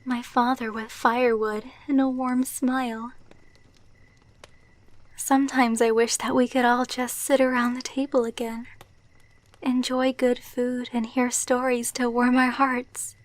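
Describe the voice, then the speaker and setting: A woman playing a gentle, wistful vampire girlfriend in an ASMR roleplay, speaking softly and tenderly. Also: on the high side, level tone, natural voice. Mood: wistful, nostalgic. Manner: soft, slow, tender, wistful delivery.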